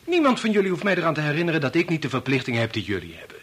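An actor playing a stubborn, persuasive bachelor in a Dutch radio play, wry, circling his point, with a slightly husky voice. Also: a little high, sing-song, rapid-fire, a bit bright, heavily acted. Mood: reproachful. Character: idealistic unmarried man, teasing, stubborn, persuasive.

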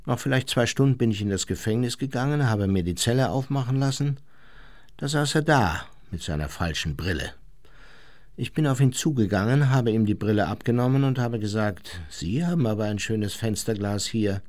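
A man plays a former Nazi officer in a radio drama, looking back on his exploits with smug pride. His low voice swings animatedly as he tells the story and slips into the quotes. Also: expressive, normal speed, a little mellow, natural voice. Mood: smug, storytelling. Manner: self-satisfied, vivid storyteller who acts out quotes. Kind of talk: radio play acting, conversational recollection.

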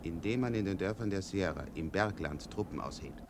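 An actor playing a stern, sceptical army colonel turned deserter, a calculating strategist with a formal, sardonic way of speaking in a German radio play. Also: male voice, sits low, animated, a little fast, noisy background. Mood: instructing, stern.

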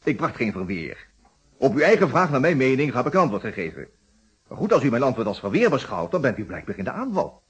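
A challenging dutch lawyer in an old radio drama, really husky, swinging intonation, theatrical. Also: male voice, mid-range voice, sing-song, fairly quick. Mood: indignant. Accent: Netherlands Dutch. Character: stout greying lawyer, proud, indignant, verbally sparring suspect. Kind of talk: Dutch radio play acting. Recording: dull old radio play recording.

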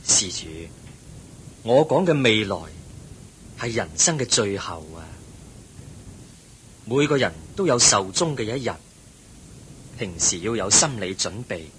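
Calm wise male teacher, Hong Kong Cantonese, measured admonishing, bright voice, radio drama, faintly noisy recording. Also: on the low side. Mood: earnest, calm.

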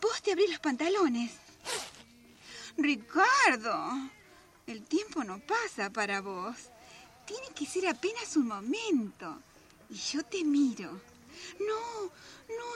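Coquettish older Argentine wife, high-pitched. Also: female voice, fairly bright tone, heavily acted. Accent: Argentine, Rioplatense. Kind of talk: radio drama acting.

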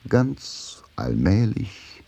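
A man's voice: on the low side, sing-song, very slow, gravelly, mellow, slightly rough. A man narrating a radio drama in a scripted literary style, with music behind his voice.

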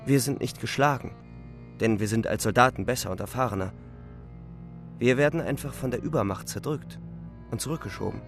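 A weary young soldier acted by a man narrates a radio drama. His voice is creaky and middling in pitch. He keeps it sober and reflective, with quiet music underneath. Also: fairly quick, long pauses, natural voice. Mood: bleak, matter-of-fact. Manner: sober, restrained, matter-of-fact. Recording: music faintly behind the voice.

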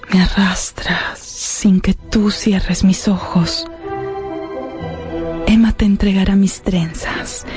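Actress as a slow, melancholy lovelorn young woman. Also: mid-range voice, lightly acted. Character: María, sickly lovelorn young woman writing farewell letters. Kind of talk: radio drama acting. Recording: music behind the voice.

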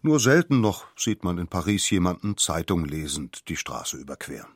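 Guy reading official quotes for a documentary, lowish voice, dry recording, formal. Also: very animated, normal speed, husky, dry recording. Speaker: quotation reader in an audio documentary. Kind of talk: formal scripted reading of regulations and quotations.

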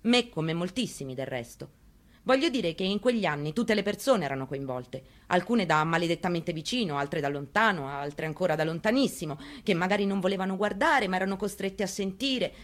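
A woman's voice: lowish voice, animated, brisk, lightly acted. A woman performing a dramatic monologue reading as a haunted, plainspoken mother recounting her story. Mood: reflective. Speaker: actress in a staged reading.

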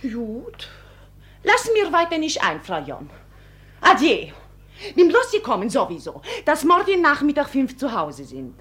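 Desperate, high-pitched young servant girl. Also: wildly swooping pitch, measured pace, fairly bright tone, heavily acted. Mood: sullen, defiant. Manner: broken German, plaintive outbursts. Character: desperate young Polish servant girl, wronged, stubborn mother. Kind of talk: theatrical naturalist stage drama acting.

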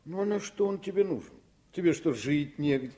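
An actor playing a kindly doctor father, gently teasing and playful with his child, in a radio play. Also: on the high side, animated, a little fast, lightly acted. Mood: amused.

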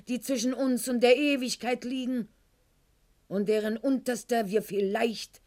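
Slow, haughty aristocratic lady played by an actress. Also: on the high side, fairly even intonation, mellow, natural voice. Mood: rapturous. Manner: articulate, haughty, ironic, literary phrasing. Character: proud, witty, wounded aristocratic lady recounting her love affair. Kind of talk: theatrical radio drama monologue.

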